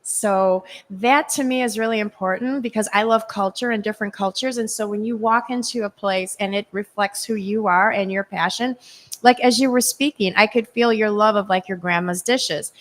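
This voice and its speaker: A woman co-host speaks with open enthusiasm at a middle pitch. She praises the place in easy, casual chat. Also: moderate pace. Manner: enthusiastic, warmly effusive praise.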